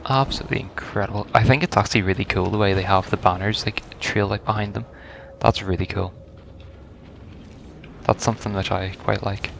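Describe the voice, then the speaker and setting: Low-pitched man commentating on a game. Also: fairly even intonation, fairly quick, gravelly, slight background noise. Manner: rambling, thinks aloud. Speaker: gaming video host. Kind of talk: casual first-reaction game commentary.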